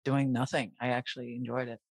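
A woman in her forties chatting casually with a friend and co-worker, telling stories in an animated way. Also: rumbling low, quick pace, slightly rough, no room sound. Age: 40s. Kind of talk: casual chat.

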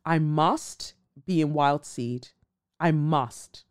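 A woman's voice: sits low, drawn-out words, clear, resonant tone. A woman hosting a podcast, talking bluntly and casually, swearing freely, with dramatic asides. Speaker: podcast host. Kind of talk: casual commentary monologue.

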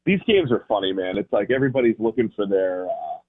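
A man's voice: on the low side, very animated, fast talker, husky. An American man giving casual, conversational football analysis as a radio guest, often rephrasing as he explains. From Boston area, United States. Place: remote call into a radio show. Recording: thin phone-like guest line.

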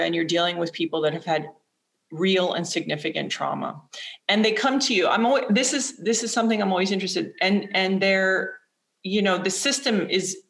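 A woman's voice: fairly low pitch, fairly bright tone. A woman podcast host asking questions casually, piecing them together in a meandering way. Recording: echoey room sound.

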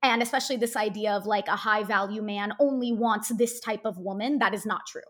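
A young woman, an actress, speaking as a guest in a casual interview, loud, outspoken and joking. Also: mid-range voice, a little fast.

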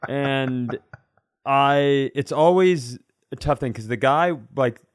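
A male comedian and podcast host telling stories casually, acting out bits in an animated, self-deprecating way. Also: mid-range voice, takes it slowly, clear, resonant tone, no echo, studio quality. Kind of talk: casual comic storytelling.

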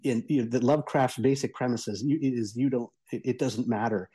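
Man, mellow relaxed voice, mid pitch, guest reviewer, conversational, halting, repeats words for emphasis. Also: husky.

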